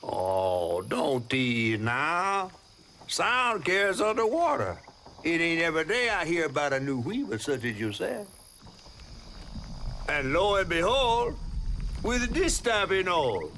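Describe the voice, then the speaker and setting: Theatrical Southern talking fish, swooping pitch. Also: male voice, big pitch swings, takes it slowly, sharp tone, slight background noise, heavily acted. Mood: courteous, wheedling. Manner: flowery, wordy, wheedling. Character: folksy, chatty male talking fish, flattering and theatrical. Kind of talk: video game character acting. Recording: music behind the voice.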